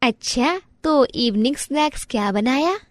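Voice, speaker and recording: Woman acting a slow-talking nosy neighbour auntie. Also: sits high, lively intonation, no echo. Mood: prying, surprised. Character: nosy, flattering middle-aged neighbour auntie. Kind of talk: Hindi family moral-story cartoon acting.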